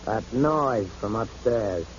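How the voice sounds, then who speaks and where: An actor playing a tough, hot-tempered young gangster son in a vintage radio drama, talking in clipped slang. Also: male voice, on the low side, animated, takes it slowly. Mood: suspicious, angry. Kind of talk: 1930s radio drama acting. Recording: muffled, faintly noisy old radio recording.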